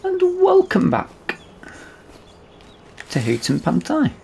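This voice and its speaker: A man's voice: sits high, wildly swooping pitch, takes it slowly, gravelly, darkish tone, slight background noise. A man giving casual let's play commentary, hesitant and musing aloud to himself as he hosts a gaming video.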